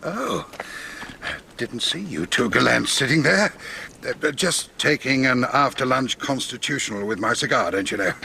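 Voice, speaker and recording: Bluff gravelly doctor. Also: male voice, mid-range voice, expressive, moderate pace, bright, forceful tone, slight background noise. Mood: jovial. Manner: hearty, clipped, old-fashioned chumminess. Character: bluff, jovial middle-aged male doctor, hearty and slangy. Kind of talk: radio drama acting.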